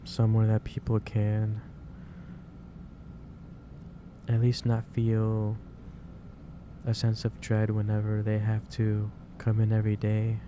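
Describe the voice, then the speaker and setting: A man playing a gentle, caring office boss in an ASMR roleplay, speaking in a hesitant, reassuring way. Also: on the low side, close to an everyday voice. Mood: earnest, reassuring. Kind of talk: ASMR roleplay, soft improvised monologue. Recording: clear background noise, ambience.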